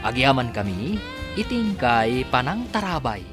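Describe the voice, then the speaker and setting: A man's voice: medium pitch, big pitch swings, a little slow. A man with an Ilocano accent reading scripted radio drama announcements. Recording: loud music behind the voice. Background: music.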